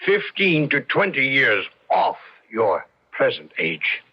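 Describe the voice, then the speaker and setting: An actor playing a patient, condescending cosmetic surgeon who protests and placates in an old radio drama. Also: male voice, mid-range voice, sing-song, slow pace, breathy edge, heavily acted. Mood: placating, exasperated. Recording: dull old radio recording.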